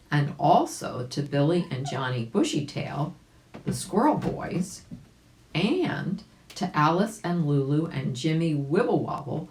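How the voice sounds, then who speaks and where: Low-voiced, animated woman reading a story. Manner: chatty, addresses listeners directly. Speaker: volunteer story reader. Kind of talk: storybook read-aloud with chatty asides. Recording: roomy, echoing home recording.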